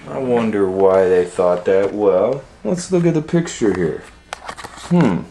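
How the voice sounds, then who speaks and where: Animated man reading a storybook, unhurried. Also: fairly low pitch, slight background noise. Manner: animated, folksy asides and questions to listeners. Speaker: storytime reader and educator. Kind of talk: children's picture-book read-aloud with chatty asides. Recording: roomy, echoing room sound.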